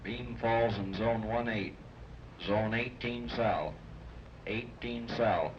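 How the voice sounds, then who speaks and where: Terse, low-voiced radio operator. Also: male voice, takes it slowly. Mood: official. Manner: clipped, formal radio procedure. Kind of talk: 1930s radio serial acting. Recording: dull, noisy 1930s radio recording.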